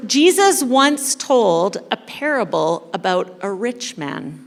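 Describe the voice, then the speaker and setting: A woman leading an interactive storytelling sermon for a church congregation, playful and speaking directly to her listeners with dramatic pauses. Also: mid-range voice, vocal fry. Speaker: kids ministry coordinator leading a church service. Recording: echoey hall with long reverb.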